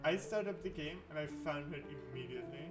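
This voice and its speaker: A man hosting a casual interview podcast, excitable and quick to exclaim. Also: a little high, over music. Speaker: podcast host.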